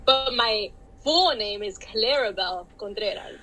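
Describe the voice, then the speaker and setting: A woman's voice: medium pitch, a little slow, pushed and bright, rough recording. A Dominican-born woman with a Miami Cuban-tinged accent, chatting casually as a guest author and laughing often. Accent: Miami Cuban-inflected English. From Dominican Republic. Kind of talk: casual interview conversation.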